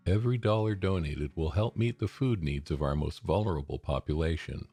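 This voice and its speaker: A man's voice: deep voice, brisk, mellow, husky, faint music behind. An American man reading scripted public service announcements for radio.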